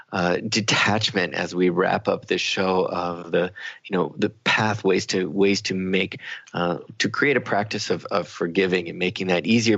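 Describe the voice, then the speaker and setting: A male radio co-host with a lowish, gravelly voice talks in a reflective, explanatory way, often circling back to rephrase a point. The sound is close and dry, slightly dulled. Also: fairly bright tone, no echo, muffled recording. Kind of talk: conversational, reflective talk radio discussion.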